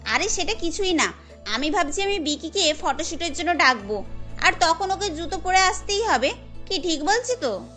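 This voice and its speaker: A woman's voice: extremely high pitch, animated, moderate pace, sharp tone, soft background music, exaggerated voice. A woman voicing a bossy, scheming young woman in a cartoon, chiding and chatty.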